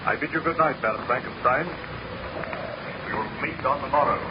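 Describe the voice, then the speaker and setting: An actor playing a courteous, authoritative ship's captain with formal, commanding speech in 1930s radio drama style. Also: male voice, mid-range voice, moderate pace, lightly acted. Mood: courteous, composed. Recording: very noisy, muffled vintage radio recording.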